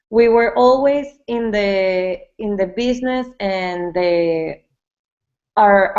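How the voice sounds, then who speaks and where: A woman's voice: medium pitch, darkish tone. A woman from Venezuela who co-founded a yoga brand, answering interview questions in a casual way and often repeating and restarting her words. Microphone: webinar call mic. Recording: dull lo-fi webinar audio.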